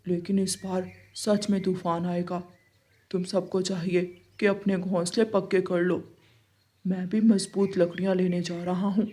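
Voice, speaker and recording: A woman voicing an earnest old male pigeon who warns others in a children's animated story. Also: on the low side, measured pace. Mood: earnest warning.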